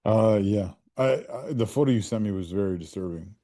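A man co-hosting a football podcast in casual chat, speaking in a hesitant, low-key way. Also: fairly quick, warm tone, no room sound, studio quality.

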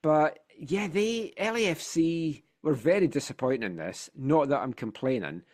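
A man's voice: fairly high pitch, animated, moderate pace. A man hosting a casual football podcast, chatty and telling stories, sounding a little congested. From Scotland. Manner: chatty, storytelling, frequent restarts. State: congested from a sinus infection. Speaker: podcast host.

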